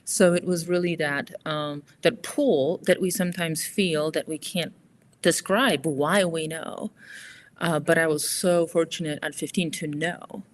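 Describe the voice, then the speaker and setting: A woman, a climber and author telling her story as an interview guest, reflective and soft-spoken, trailing off at the ends of phrases. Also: sits low, steady tone, unhurried, slight background noise. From Poland. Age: 40s.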